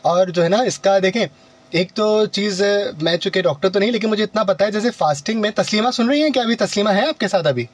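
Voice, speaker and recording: A man's voice: sits high, fast. A man with a Pakistani accent hosting a live morning call-in radio show in a chatty, courteous manner. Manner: chatty, courteous, repeats advice. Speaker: radio host.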